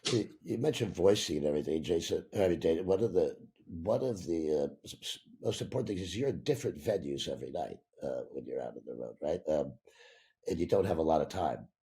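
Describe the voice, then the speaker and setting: A man moderating a panel, putting conversational questions to guests with halting, repeated words. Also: a bass voice, lively intonation, creaky, dark tone, a little hiss or hum. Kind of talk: conversational interviewing.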